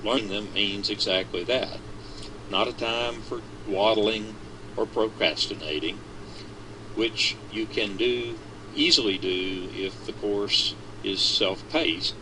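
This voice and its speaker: A man reading a business article aloud with a measured, deliberate delivery. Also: fairly low pitch, background noise. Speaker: reader of the article.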